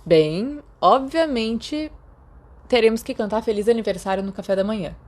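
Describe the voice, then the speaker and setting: A woman's voice: fairly high pitch, normal speed, a little mellow, natural voice. A Brazilian woman voicing a friendly, easygoing teenage schoolboy with a breezy, confident manner in a story. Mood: planning eagerly.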